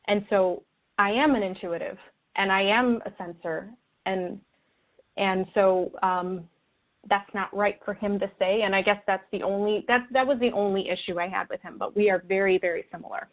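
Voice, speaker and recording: A woman with a mid-pitched voice comes through a narrow, phone-like connection. She talks earnestly as an interview guest, in a reflective, halting way, often rewording as she goes. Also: steady tone. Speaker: interview guest, spiritual video creator. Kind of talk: earnest conversational interview talk. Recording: tinny phone-like call audio.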